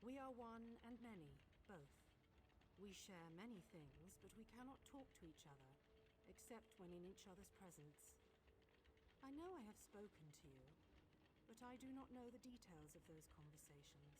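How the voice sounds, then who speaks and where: A woman's voice: mid-range voice, animated, close to an everyday voice. Woman playing a solemn celestial being, noisy capture. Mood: grave, calm. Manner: measured, formal, grave. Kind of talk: video game character dialogue. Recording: noisy game capture with ambience.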